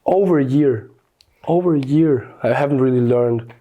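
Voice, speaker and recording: A man's voice: mid-range voice, normal speed, narrow, tinny audio. A young man from Austria with an Austrian German accent, a German speaker chatting easily as an interview guest. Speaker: guest influencer. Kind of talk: casual interview chat.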